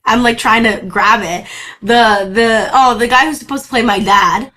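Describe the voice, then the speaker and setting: A young woman from Brazil, a native Portuguese speaker, chatting as an actor guest in an interview, lively, laughing often and restarting her sentences. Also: a little high, normal speed. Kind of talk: casual interview chat.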